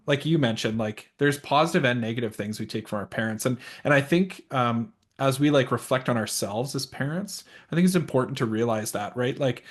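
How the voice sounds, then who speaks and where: A middle-aged man hosting a podcast, earnest and halting, often repeating his words as he thinks aloud. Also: mid-range voice. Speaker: podcast host. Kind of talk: casual interview chat.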